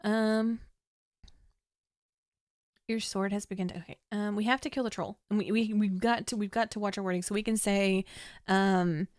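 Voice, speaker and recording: Chatty young woman, slightly hoarse. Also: mid-range voice, steady tone, a little mellow, no room sound, clean studio sound. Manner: chatty, thinks aloud, reads descriptions in a measured storytelling way. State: slightly hoarse, losing her voice. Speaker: streamer playing a text adventure. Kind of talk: casual game commentary, reading game text aloud.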